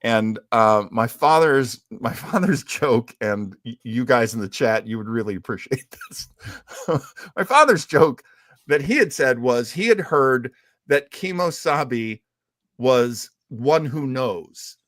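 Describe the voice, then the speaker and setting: A man with a mid-pitched voice and a slow, deliberate pace. He co-hosts a live show on radio history, telling stories expressively in a conversational way. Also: animated, a bit bright. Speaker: co-host.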